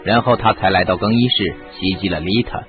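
A man's voice: sits low, brisk, phone-quality sound, natural voice. A man acting in a radio drama as a shrewd, confident sleuth, explaining things in an assured way. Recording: band-limited audio with music.

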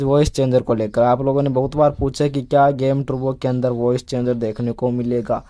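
Fast-talking, mellow man. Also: medium pitch, dry recording. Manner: repetitive, frequently addresses the viewers as friends. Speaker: tech video host. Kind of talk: casual smartphone update explainer.

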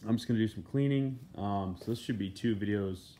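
Easygoing low-voiced farmer vlogger. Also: male voice, a little fast, dark tone, slight background noise. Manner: easygoing, rambling, self-correcting. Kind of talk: casual vlog narration. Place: in a truck cab and a barn.

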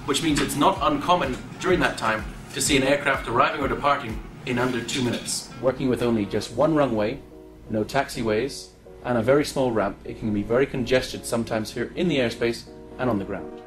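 A man's voice: mid-range voice, fairly quick, hardly any pauses, fairly bright tone, low-quality recording. A young man who works as an air traffic controller, explaining his job in an interview. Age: young adult. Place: small office with large windows, indoors. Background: background music.

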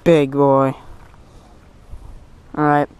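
An excited young man commentates his fishing trip from a small boat on a lake, exclaiming over his catch. His voice sits slightly high yet stays flat and even in melody. A head-mounted camera picks him up outdoors with a faint background hiss. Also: drawn-out words. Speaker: fishing vlogger. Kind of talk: casual commentary while fishing. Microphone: head-mounted action camera.

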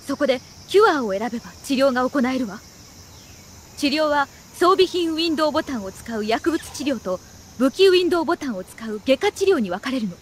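高い声の冷静な女性衛生兵. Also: female voice, high voice, lively intonation, brisk, slight background noise. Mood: calm, instructive. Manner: clear, instructive, firm. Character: composed, firm female radio medic guiding a wounded soldier. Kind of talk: scripted game tutorial instruction.

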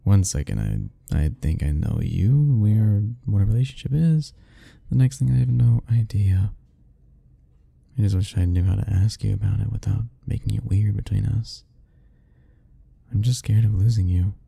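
A young man softly speaking a tender, hesitant ASMR roleplay, musing aloud. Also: lowish voice, animated, normal speed, gravelly, slightly rough. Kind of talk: soft-spoken ASMR roleplay.

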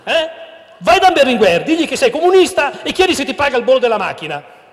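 A man voicing an exasperated middle-aged father who yells at his son, indignant and arm-waving, on a stage with a handheld mic. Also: very high-pitched, big pitch swings, quick pace, pitched above the performer's own voice, exaggerated voice. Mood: furious. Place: stage in a large hall. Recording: long hall reverb.

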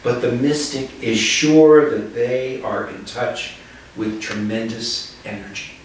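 A minister in his sixties giving a reflective sermon in a measured, solemn, deliberate way. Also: mid-range voice, steady tone. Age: 60s. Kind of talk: reflective sermon, read from notes. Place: living room with small seated group. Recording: echoey living room, distant mic, faint noise.

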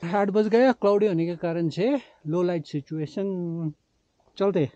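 A man in his forties narrates a travel vlog casually as he treks, with a high, mellow voice. He speaks slowly and drops English words into his talk. It is recorded outdoors on a handheld camera, with slightly dull sound. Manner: mixes English words and phrases into speech. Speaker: travel vlogger. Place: outdoors on mountain roads. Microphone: camera held at arm's length.

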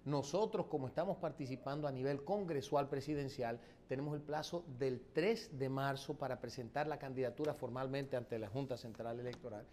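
A man with a Dominican accent answers political interview questions as a candidate, in formal, legalistic, measured wording. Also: medium pitch, darkish tone, a little hiss or hum. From born in the United States to an exiled Dominican family. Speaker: presidential candidate being interviewed.

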